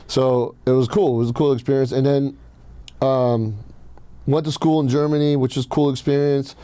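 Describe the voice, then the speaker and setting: A man hosts a casual podcast with a dark, relaxed tone in a very dry acoustic. He is from Iran and tells personal stories bluntly, swearing freely. His pitch sits in an ordinary male range. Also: normal speed, smooth, no echo. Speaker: podcast host.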